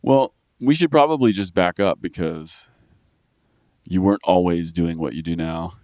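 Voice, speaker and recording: A man's voice: sits low, moderate pace, darkish tone, dry recording. An American man hosting a podcast, asking questions in an upbeat, casual way. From United States. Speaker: podcast host. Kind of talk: casual interview.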